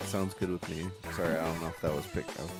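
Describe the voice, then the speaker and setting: A man with a dark, deep voice chatting on an XLR studio mic, laid-back and mumbly with lots of fillers. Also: steady tone, fast talker. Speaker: stream guest. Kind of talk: casual chat while gaming. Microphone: XLR studio microphone. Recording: loud game music behind. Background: game music.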